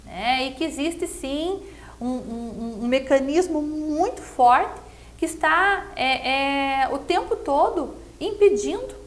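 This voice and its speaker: Brazilian woman, high voice, earnest explainer for conversational political commentary, frequent tag questions. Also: animated, slow. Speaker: interview guest, political commentator.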